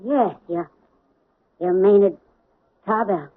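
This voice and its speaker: A woman's voice: mid-range voice, slow. An actress playing a bitter, defensive woman accused of extortion in a 1970s radio drama. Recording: tinny, dry old radio broadcast.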